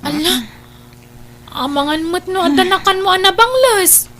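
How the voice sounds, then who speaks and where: A woman with an Ilocano accent playing a devout, gently scolding younger sister in a radio comedy drama. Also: very high-pitched, lively intonation, normal speed, slight background noise. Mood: concerned.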